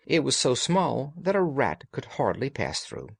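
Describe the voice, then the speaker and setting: A man voicing a detective's loyal, puzzled gentleman companion in an audiobook, earnest and plain-spoken. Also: medium pitch, wildly swooping pitch, a little slow, creaky, husky, no room sound, voice pushed up, exaggerated voice. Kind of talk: audiobook character dialogue.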